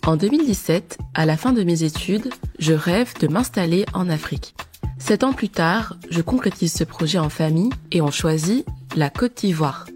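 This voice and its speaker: Woman podcast host, 30s, low-pitched, friendly and upbeat with guests. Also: expressive, normal speed, a little mellow, soft background music. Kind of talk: scripted intro then casual interview.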